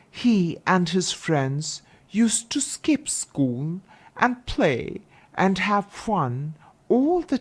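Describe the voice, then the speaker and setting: A woman storyteller reads a children's fairy tale. Her voice sits a little low and moves through wide, lively intonation. She takes her time, phrasing each part deliberately. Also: breathy edge.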